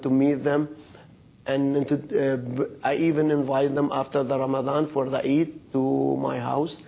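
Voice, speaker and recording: A man from Iraq giving a personal testimony at a church service, speaking halting with frequent fillers and restarts. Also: medium pitch, vocal fry, dull audio. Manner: halting non-native English with fillers and restarts.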